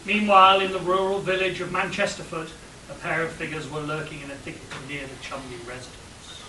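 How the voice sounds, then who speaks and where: A man's voice: fairly high pitch, level tone, fairly quick, sharp tone. Male narrator, comic radio drama script, distant mic in a roomy space. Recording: noisy echoey room, distant mic.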